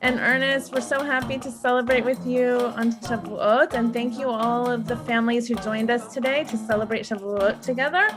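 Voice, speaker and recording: A woman hosting a children's online event from a bedroom, giving informal thanks and announcements in a halting way. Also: a little high, steady tone, music in the background. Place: bedroom, live stream.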